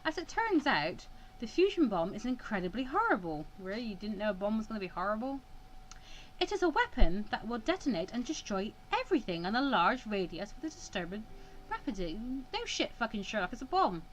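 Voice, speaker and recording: A woman's voice: on the high side, big pitch swings, brisk, slight background noise, low-quality recording. A woman hosting a let's play, reading visual novel text aloud and breaking off for wry, sarcastic asides.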